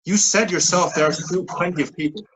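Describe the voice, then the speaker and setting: An actor playing a defensive, evasive recruiter who wants out, with a husky voice and pleading manner, in a staged play reading. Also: male voice, high voice, brisk, fairly bright tone, a little hiss or hum.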